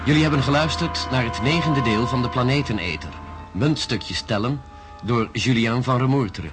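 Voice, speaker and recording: A man's voice: mid-range voice, normal speed, music in the background. A man announcing radio drama credits in a formal style.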